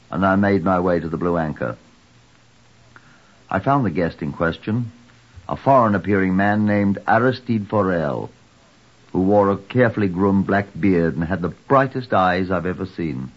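An actor playing a dry, reserved police inspector, formal and measured, in an old-time radio drama. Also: male voice, low-pitched. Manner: dry, terse, formal when narrating. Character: dry, reserved male police inspector narrating his case. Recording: dull, muffled old radio recording.

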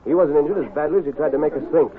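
A man playing a stern, commanding masked lawman in an old-time radio western, speaking with firm, deliberate authority. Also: on the high side, a crowd in the background, natural voice. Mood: urgent, decisive. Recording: tinny, hissy old radio broadcast.

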